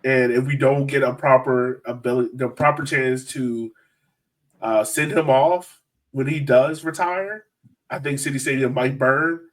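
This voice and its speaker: Guy hosting a fan sports podcast, average pitch, chatty and into it. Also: fairly even intonation, measured pace. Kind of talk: casual panel chat.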